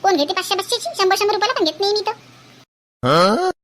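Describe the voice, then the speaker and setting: A woman voicing several bickering village women in a cartoon, shrill and scolding, with a Varhadi Marathi accent. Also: big pitch swings, normal speed, background noise. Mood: scornful. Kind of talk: cartoon voice acting.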